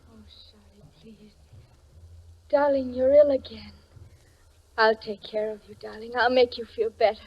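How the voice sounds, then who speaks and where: A tender, doting wife in 1940s radio style. Her voice is fairly high and very breathy, coaxing and affectionate, heard on a muffled vintage recording. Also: fairly even intonation, unhurried, pauses often. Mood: tender, soothing. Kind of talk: 1940s radio drama acting.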